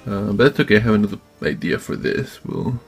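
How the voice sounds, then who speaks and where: Low-pitched man narrating a DIY build. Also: expressive, creaky, soft background music. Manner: informal, halting, thinking aloud as he works. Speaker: DIY maker and video host. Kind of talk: casual hands-on tutorial narration. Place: indoor craft workbench.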